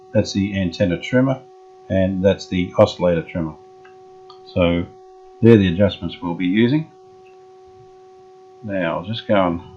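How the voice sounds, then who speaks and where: A man restoring an old radio, thinking aloud in a halting, casual way with many pauses and fillers. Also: low-pitched, darkish tone, muffled recording, slight background noise. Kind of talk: hands-on workshop demonstration.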